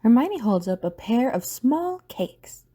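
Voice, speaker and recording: A female narrator with a mellow, husky voice that sits a little high. She reads scripted scene descriptions for an audio drama. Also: sing-song, takes it slowly.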